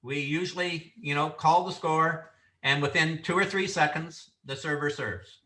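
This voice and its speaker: A man from Canada lecturing referee trainees, loud and sure of himself, his voice bright and a touch high. He sits back from the mic in an echoey room, so the sound is roomy and distant. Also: steady tone, measured pace. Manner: loud, confident, instructive delivery. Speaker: referee instructor.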